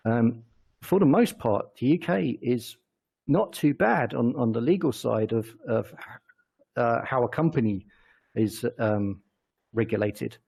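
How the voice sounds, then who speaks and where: Male interview guest, English accent, noticeable vocal fry, halting and informal. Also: mid-range voice, lively intonation, darkish tone. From England. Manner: halting, self-correcting. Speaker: interview guest, company chief executive. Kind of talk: casual interview answers.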